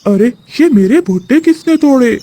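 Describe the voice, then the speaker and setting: A woman's voice: high voice, lively intonation, moderate pace, dark tone. A woman voicing a stern male hawk, a farm owner in a children's cartoon, scolding and accusing. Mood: angry. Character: stern male hawk who owns a cornfield. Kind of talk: Hindi children's cartoon voice acting.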